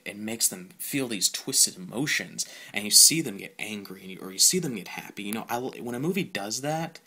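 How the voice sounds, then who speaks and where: A man hosting a podcast, giving a casual, informal solo film review in a halting way. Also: lowish voice, bright, forceful tone.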